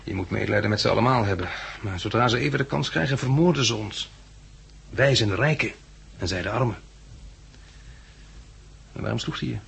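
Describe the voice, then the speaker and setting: A man's voice: fairly low pitch, expressive, fairly quick, vocal fry, long pauses, fairly bright tone, slightly rough, exaggerated voice. An actor playing a cautious, protective, level-headed man among the survivors in a 1960s radio drama. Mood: sober, practical. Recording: old radio recording with faint hum.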